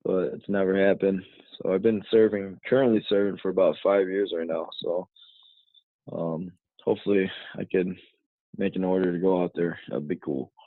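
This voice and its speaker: Low flat-voiced young man on a phone line. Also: moderate pace, no echo. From United States. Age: 20s. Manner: rambling, full of "you know" fillers. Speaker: guest, fighter. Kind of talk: casual podcast interview.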